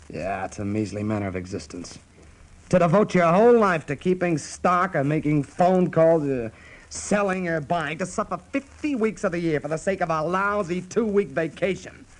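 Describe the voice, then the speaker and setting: A man's voice: a little high, lightly acted. An actor in a radio play voicing a restless, unsettled young American salesman who yearns for the outdoors.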